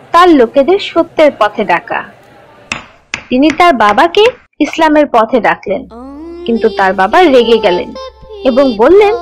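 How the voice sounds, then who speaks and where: Young cartoon storyteller teaching kids, high voice, really lively, music behind. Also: female voice, exaggerated voice, poor audio. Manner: expressive, instructive. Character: young storyteller teaching children religious tales. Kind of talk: scripted storytelling for children.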